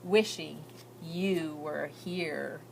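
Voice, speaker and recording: A woman's voice: low voice, steady tone, extremely slow, vocal fry, a little hiss or hum, voice pushed down, heavily acted, poor audio. A woman voicing an earnest, shy, hopeful immigrant girl reading out her letters, with heartfelt, lilting storybook delivery.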